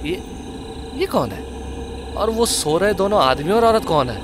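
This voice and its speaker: A man's voice: high voice, very animated, background noise, pitched above the performer's own voice. A man playing a cowardly, whining male sidekick in a comic horror audio drama, stammering and drawing out his words. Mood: nervous. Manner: whiny, stammering, drawn-out.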